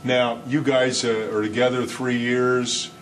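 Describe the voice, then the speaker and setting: A man hosting a casual city-TV interview, talking easily with frequent pauses and restarts. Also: medium pitch, a little hiss or hum, rough recording. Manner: relaxed, many uhs and restarts. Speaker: host.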